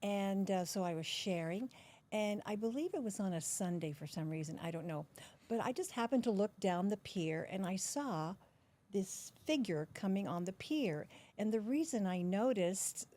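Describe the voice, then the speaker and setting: An older woman from the Netherlands, a podcast guest recounting memories in an unhurried, storytelling way. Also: medium pitch, lively intonation. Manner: warm, unhurried storytelling with soft laughs. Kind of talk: storytelling interview.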